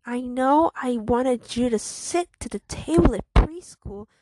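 A woman voicing a creepy, menacing schoolgirl in a story, taunting slowly and eerily, at times in a whisper. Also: high-pitched, expressive, mellow, no echo. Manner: slow, taunting, eerie, partly whispered. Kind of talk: acted storytelling.

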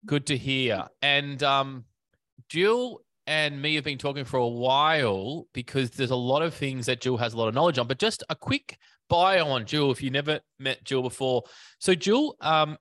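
A man's voice: mid-range voice, normal speed, fairly bright tone, dry recording. Man hosting a podcast, dry sound. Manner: rambling, many fillers and restarts. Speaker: podcast host. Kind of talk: casual interview.

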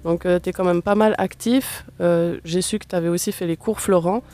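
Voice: mid-range voice, fairly even intonation, normal speed, darkish tone, soft background music. A radio host, asking long, chatty questions of a guest. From Switzerland. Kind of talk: radio interview.

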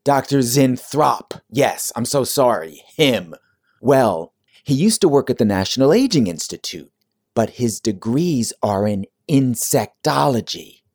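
A man's voice: mid-range voice, expressive, a little slow, darkish tone, no room sound, clean studio sound. An actor playing an anxious, devoted male secretary in a campy audio drama, deferential and earnest. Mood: fretful.

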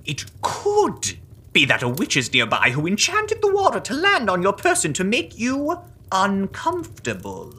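A woman's voice: medium pitch, huge pitch swings, moderate pace, sharp tone, breathy edge, heavily acted. A woman playing a pompous, self-righteous leader of a Puritan witch-hunting club, declaiming archaic English in a comic audio drama. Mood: grandly suspicious.

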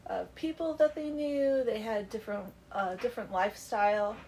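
A woman's voice: medium pitch, normal speed, mellow. Congested woman doing a book podcast from her basement, chatty, faint background hum. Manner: chatty, laughs easily. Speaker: podcast host. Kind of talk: casual book club chat. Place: home basement.

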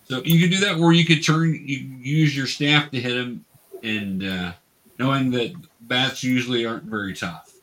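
A man's voice: medium pitch, normal speed, fairly bright tone, low-quality recording. Male game master, mid-range voice, patient and explanatory, teaching a tabletop fantasy game in conversational narration.

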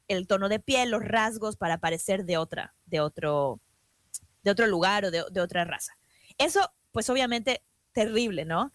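A woman with a Mexican accent talks in a casual podcast discussion. Her voice sits at an average pitch, with very little room sound. She thinks aloud and frames her points as open questions. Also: dry recording. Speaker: talk show co-host.

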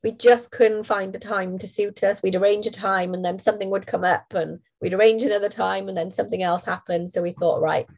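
A woman, co-hosting a podcast in casual chat while nasal and hoarse from a cold. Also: mid-range voice, steady tone, a little fast, few pauses, darkish tone. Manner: chatty, laughs often. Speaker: podcast co-host.

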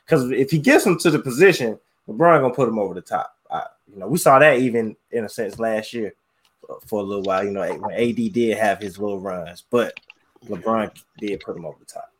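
A man's voice: fairly low pitch, brisk, breathy edge. A man on a podcast panel, talking loosely about basketball and leaning on fillers like "you know". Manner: rambling, leans on "you know". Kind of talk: casual sports talk.

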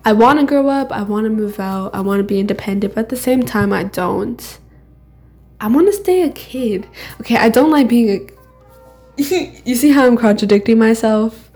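A woman's voice: on the high side, lively intonation, moderate pace, a little mellow, soft background music. A teenage girl co-hosting a podcast, talking candidly and rambling, often laughing at herself. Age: teens. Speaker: podcast co-host. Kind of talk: casual solo chat.

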